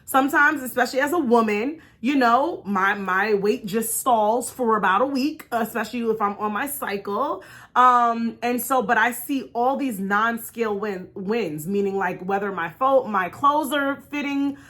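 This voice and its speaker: A woman's voice: fairly high pitch, unhurried, fairly bright tone. An American woman vlogging in a candid, casual storytime style, talking in an emphatic, encouraging, conversational way. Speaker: vlog host.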